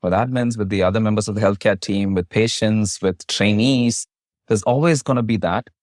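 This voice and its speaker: A man, a physician for whom English is a second language, hosting a podcast interview with measured, formal, carefully built questions. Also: fairly low pitch, a little mellow, dry recording, studio quality. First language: not English. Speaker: host, physician.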